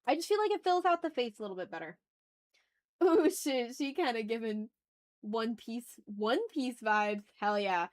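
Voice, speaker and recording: A woman with a somewhat high voice hosts a casual art stream. She talks to her viewers as if to friends, in slangy, animated phrases. Her manner is teasing and informal, with swearing mixed in. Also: normal speed. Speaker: livestream host and artist. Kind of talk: casual art livestream chat, reading and answering chat messages.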